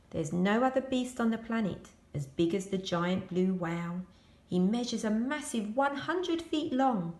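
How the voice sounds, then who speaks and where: A woman reading a children's picture book aloud as a school storyteller, expressive and addressing young children. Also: mid-range voice, reverberant.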